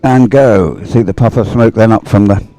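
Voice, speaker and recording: A man's voice: fairly low pitch, normal speed, dark tone, no room sound. A man giving unscripted live sports commentary in a hesitant, rambling way, as if thinking aloud.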